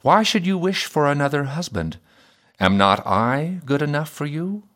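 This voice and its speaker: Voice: mid-range voice, very animated, moderate pace, a bit bright. A storyteller voicing a bossy fiddler husband in a fairy tale, blunt and reproachful. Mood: brusque. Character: gruff, bossy fiddler husband, scolding and matter-of-fact.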